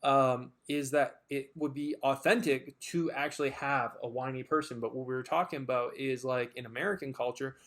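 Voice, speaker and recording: A man's voice: medium pitch, fairly even intonation, normal speed, few pauses, a bit bright. A man co-hosting a casual chat, rambling and hesitant with lots of fillers and restarts. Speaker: podcast co-host.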